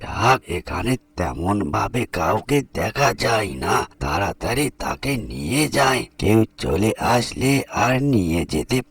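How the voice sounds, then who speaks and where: A man's voice: on the low side, lively intonation, unhurried, few pauses, a bit bright, breathy edge, no room sound, voice pushed down, exaggerated voice. A man playing a sly elderly grandfather in a cartoon, with a wheedling, muttering manner. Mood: stern, scheming. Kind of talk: Bengali cartoon voice acting.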